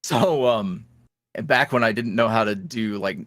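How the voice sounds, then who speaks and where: A man as a podcast guest talking casually, animated and laughing often as he talks. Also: lowish voice, measured pace, dry recording. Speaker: guest, ASMR roleplay creator.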